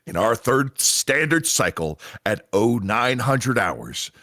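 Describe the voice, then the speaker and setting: A man playing a grave commanding agent in a sci-fi radio drama, briefing with deliberate, dramatic emphasis and pauses. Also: medium pitch, sing-song, sharp tone, dry recording, clean studio sound, full character voice. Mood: grave, urgent. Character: grave male commanding agent of a multiverse agency.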